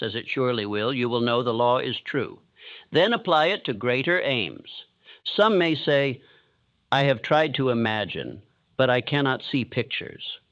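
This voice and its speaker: A man giving a calm, steady, measured narration in the solemn manner of a motivational sleep audiobook. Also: a little high, no echo, muffled recording.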